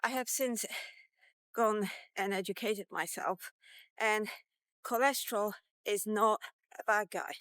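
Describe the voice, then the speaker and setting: A woman's voice: mid-range voice, takes it slowly, long pauses, fairly bright tone, no room sound. A woman in her fifties talking informally and candidly in a rambling, casual vlog. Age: 50s. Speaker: vlogger. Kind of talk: casual walk-and-talk vlog.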